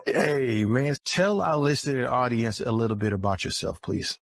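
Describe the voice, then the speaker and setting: Energetic man hosting a podcast. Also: mid-range voice, moderate pace, no room sound. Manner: energetic and welcoming. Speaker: podcast host.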